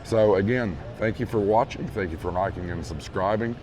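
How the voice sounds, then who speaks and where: A hoarse man losing his voice, casually walking viewers through a large store and calling them folks. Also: on the low side, moderate pace, noisy background. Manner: easygoing, repeats praise, addresses viewers as folks. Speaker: vlog host touring a store. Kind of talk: casual walkthrough narration. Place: large retail store indoors. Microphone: handheld phone camera.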